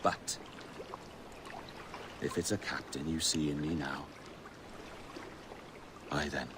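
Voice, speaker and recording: An actor playing a proud, cynical pirate captain in a video game, with a theatrical, measured, sardonic way of speaking. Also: male voice, very deep voice, fairly even intonation, noisy background. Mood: solemn, proud.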